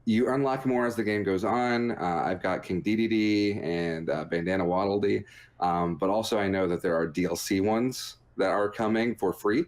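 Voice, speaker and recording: A man's voice: lowish voice, moderate pace. A man co-hosting a gaming podcast in casual chat, with a jokey, rambling way of talking. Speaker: podcast co-host reviewing video games.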